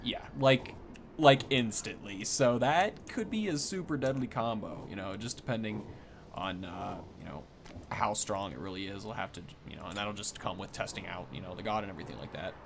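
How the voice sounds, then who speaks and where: A man's voice: lowish voice, moderate pace, gravelly, noisy background. A man giving casual, off-the-cuff game commentary in an informal way, thinking aloud as he goes. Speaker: gaming content creator giving a first look.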